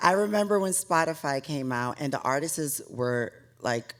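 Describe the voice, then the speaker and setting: A man's voice: a little high, expressive, moderate pace, a bit bright. A man in his late thirties interviewing in a casual, conversational style. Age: late 30s.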